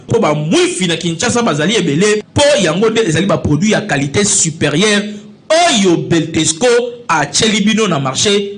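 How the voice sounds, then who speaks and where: High-voiced ad announcer man. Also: a bit bright. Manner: upbeat selling pitch. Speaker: advertising announcer. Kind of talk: radio ad read.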